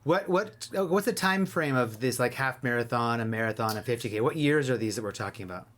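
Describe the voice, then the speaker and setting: A man's voice: mid-range voice, animated, fairly quick, fairly bright tone, slight background noise. A man of fifty hosting an interview, encouraging his guest and affirming with short replies. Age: 50s. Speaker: podcast host. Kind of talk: interview conversation.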